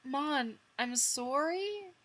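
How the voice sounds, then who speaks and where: A woman's voice: sits high, lively intonation, very slow, fairly bright tone, voice pushed up, heavily acted. A woman voicing a nervous, defensive young man in love, stammering and pleading in a dramatic fan fiction reading. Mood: panicked, pleading.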